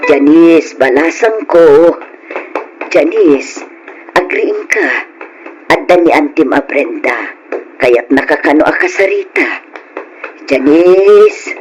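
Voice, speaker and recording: A woman's voice: deep voice, lively intonation, slow, long pauses, darkish tone, soft background music, rough recording. An actress playing a grieving elderly mother in an old Ilocano radio drama, speaking in a plaintive, pleading, emotional way. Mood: weary, worried.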